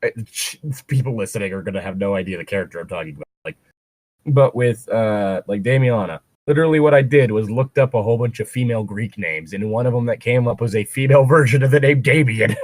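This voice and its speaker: A man with a fairly low voice, recorded close and dry. He talks casually and ramblingly, like someone sharing side thoughts during a read-aloud with a friend, stopping to comment or curse. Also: quick pace, dry recording. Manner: rambling, breaks off to comment and swear. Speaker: co-host reading fan fiction aloud. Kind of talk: casual chat while reading fan fiction aloud.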